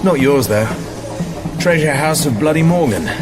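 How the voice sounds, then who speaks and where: Casting: male actor playing a daring, sardonic British spy, lively swoops in pitch, loud music underneath. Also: moderate pace, lightly acted. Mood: mocking. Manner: sardonic, muttering to himself. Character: wry, daring British male secret agent. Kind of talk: radio drama acting.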